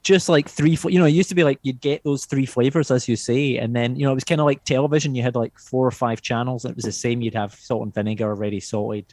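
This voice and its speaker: Male comedy guest, mid pitch, informal wry storyteller, very dry recording. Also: fairly even intonation, quick pace, few pauses, a little mellow, no echo. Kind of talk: casual chat.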